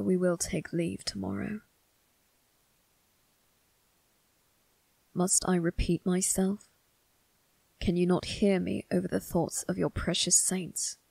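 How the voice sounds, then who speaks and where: A woman voicing a brooding, cold but protective young male vampire crime boss in an ASMR roleplay, speaking formally and deliberately in a raspy, breathy voice that dips into whispers. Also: on the low side, darkish tone, no room sound, full character voice. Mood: stern, protective. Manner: deliberate, formal, menacing, drawn-out phrasing. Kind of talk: ASMR roleplay, dramatic monologue addressed to listener.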